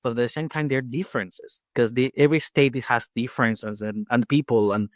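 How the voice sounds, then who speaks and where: A man in his forties from Peru with a Peruvian Spanish accent, Spanish being his first language, chatting as an interview guest, talking fast with many restarts and fillers. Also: medium pitch, fairly even intonation, no room sound, clean studio sound. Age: 40s. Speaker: guest dentist and faculty. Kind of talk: casual interview chat.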